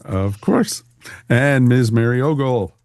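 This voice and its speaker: A man's voice: mid-range voice, slow pace, a little mellow, no room sound, clean studio sound. A man hosting a podcast in a casual, chatty way, with a jokey, folksy manner. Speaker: podcast host.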